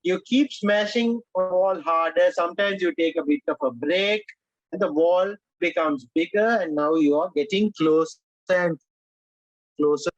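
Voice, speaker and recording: A man from Sri Lanka who speaks English as a second language, leading a speech-writing workshop with animated emphasis and frequent direct address to his audience. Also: high-pitched, measured pace, no echo, lo-fi sound. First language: Sinhala or Tamil. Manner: animated, emphatic, repeats "my dear friends". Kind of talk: online workshop lecture.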